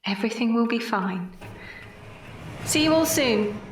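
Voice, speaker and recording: High-pitched, animated, earnest nurse played by a woman. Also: unhurried, a little hiss or hum. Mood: reassuring. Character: resolute woman nurse in zombie-plague Victorian London, indignant, commanding. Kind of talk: dramatic audio drama acting.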